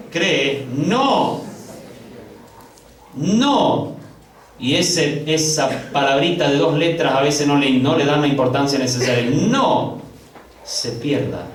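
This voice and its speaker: A man preaching a sermon to his congregation, calling them brothers and swinging from loud, high, drawn-out emphasis to hushed low passages. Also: slight background noise. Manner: addresses congregation as brothers, slow drawn-out stresses. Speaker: pastor. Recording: echoey room, picked up from a distance.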